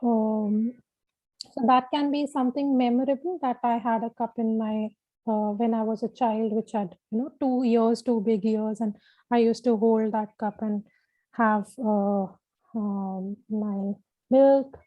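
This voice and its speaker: A woman taking part in a workshop, answering a question in a halting, casual, reflective way. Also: on the high side, fairly even intonation, no room sound. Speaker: workshop participant. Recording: tinny, dry phone-like line.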